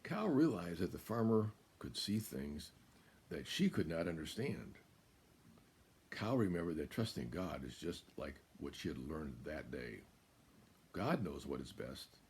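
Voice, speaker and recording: An American man in his seventies reading a children's picture book aloud as a guest storyteller, in a deliberate, emphatic way. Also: deep voice, very animated, a little mellow, a little hiss or hum. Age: 70s. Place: small room indoors.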